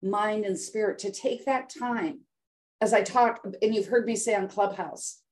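An older American woman speaking as a podcast guest over a remote call, voice at an everyday middle pitch. She tells her story dramatically and acts out the words of others. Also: measured pace, darkish tone. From United States. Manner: dramatic, acts out quotes with voice shifts. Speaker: guest health coach. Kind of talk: emotional storytelling in interview.

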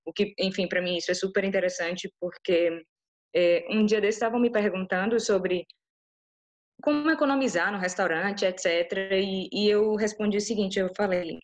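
Enthusiastic Brazilian woman from Bahia. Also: mid-range voice. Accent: Brazilian Portuguese. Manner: enthusiastic, chatty, many restarts. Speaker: interview guest. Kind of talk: casual interview answers.

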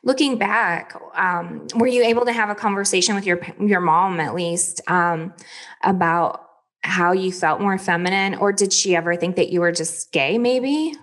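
A woman's voice: lowish voice, moderate pace, fairly bright tone. A woman hosting an interview, asking questions in a conversational way. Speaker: interview host.

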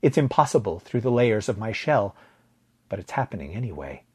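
A man with a husky voice narrating a story in a dramatic, deliberate way, letting phrase endings drop to a hush. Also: fairly low pitch, sing-song. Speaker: audio fiction narrator.

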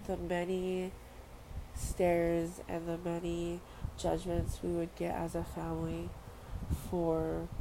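Young woman from Samoa, earnest vlogger, low and flat delivery. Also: vocal fry. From Western Samoa. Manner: earnest, repeats phrases, casual fillers. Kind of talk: heartfelt personal monologue. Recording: noisy background ambience.

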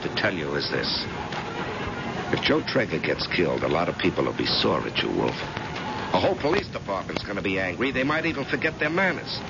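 An actor playing a tough, persistent private investigator in an old-time radio drama, blunt and pressing. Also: male voice, sits low, very animated, a little fast, creaky, exaggerated voice. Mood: pressing, menacing. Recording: muffled, noisy vintage radio recording.

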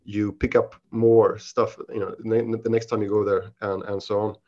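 A man with a Swedish accent, a podcast guest who speaks in halting, casual answers full of restarts and fillers. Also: on the low side, flat delivery, darkish tone. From Sweden. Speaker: podcast guest, investment researcher. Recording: dull lo-fi audio.

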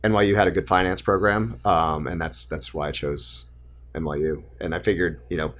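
Young guy from Maine, deep voice, straightforward and earnest guest. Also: fairly even intonation, fairly quick, a bit bright. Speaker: guest, lending business co-founder. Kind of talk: casual interview chat.

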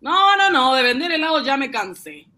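A man acting a simple, excitable, poor ice-cream vendor and husband in a radio serial, with folksy, naive exclamations. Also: very high voice, normal speed, exaggerated voice. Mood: hesitant.

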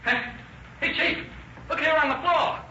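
An actor playing an excitable, slow-witted firefighter in a 1930s radio drama, stammering and repeating himself. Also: male voice, extremely high pitch, heavily acted. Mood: excited, alarmed. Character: excitable, slow-witted male firefighter reporting to his chief. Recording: dull, echoey old radio recording, faint noise.

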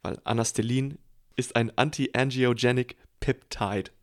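A man's voice: mid-range voice, fairly even intonation, unhurried. A man co-hosting a film podcast, chatting casually about movies. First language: German.

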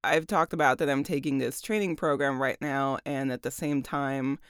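A woman voice actor with a deep voice, chatting casually about her work, laughing often and using lots of fillers. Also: steady tone, moderate pace, clean tone, no room sound, clean studio sound. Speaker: voice actor and audiobook narrator.

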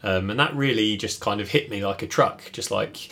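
A man, talking things over in a relaxed podcast conversation. He thinks aloud as he goes, pausing on fillers and restarting his sentences. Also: lowish voice. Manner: thoughtful, many fillers and restarts. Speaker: podcast co-host. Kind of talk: casual reflective chat.

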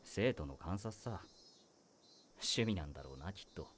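An actor voicing a weary male high-school teacher who talks in a dry, wry, world-weary way. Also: animated, normal speed, slight background noise. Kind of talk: voice drama acting.

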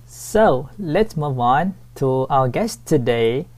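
A man's voice: mid-range voice, lively intonation, slow, a little mellow. A man hosting a casual podcast, with a hesitant delivery full of fillers like "uh" and "like". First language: Thai. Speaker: podcast host.

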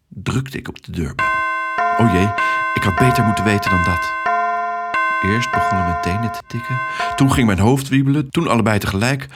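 A man with a low, husky voice narrates a story for children in the first person. He is expressive and dramatic, dropping into hushed asides as he acts out the tale.

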